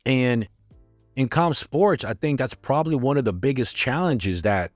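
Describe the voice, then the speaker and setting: A middle-aged man with a hoarse voice hosting a podcast, talking frankly in a casual, conversational way. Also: medium pitch, expressive, normal speed, dry recording, soft background music. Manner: frank, conversational, sprinkled with swearing. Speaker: podcast host. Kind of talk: casual solo podcast talk.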